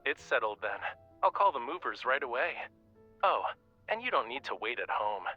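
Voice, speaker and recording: A man's voice: medium pitch, big pitch swings, moderate pace, dull audio, faint music behind. An actor voicing a playful, self-assured young male artist in a romance video game, with a casual, lazily teasing manner. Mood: breezy, confident.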